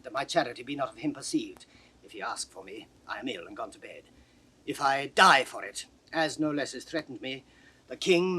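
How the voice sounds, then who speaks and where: A man's voice: a little high, sharp tone, slightly rough, full character voice. An actor playing a troubled, loyal old nobleman, urgent and confiding, in classical Shakespearean audio drama style. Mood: resolute, anxious.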